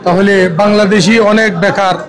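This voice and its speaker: High-pitched Bangladeshi man amid crowd noise. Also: level tone, normal speed. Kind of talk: spoken remarks to a news camera. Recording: busy crowd noise around.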